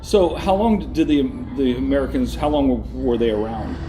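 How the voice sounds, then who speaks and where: A man's voice: mid-range voice, animated, moderate pace, crowd noise, poor audio. A man hosting an interview, asking questions in a hesitant way with fillers. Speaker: host.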